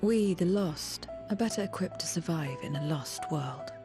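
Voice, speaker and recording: Guarded female wasteland survivor woman acting, video game drama. Lowish voice, solemn and detached, music beneath. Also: expressive, moderate pace, natural voice. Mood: grave, brooding. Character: guarded, world-weary female survivor of a lost dark world. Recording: background music under the voice.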